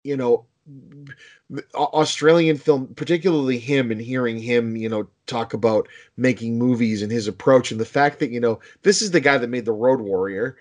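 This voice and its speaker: A man from Boston with a Boston accent, chatting casually in a rambling, animated way with many restarts and casual swearing. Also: medium pitch, normal speed. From Boston, United States. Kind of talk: casual chat.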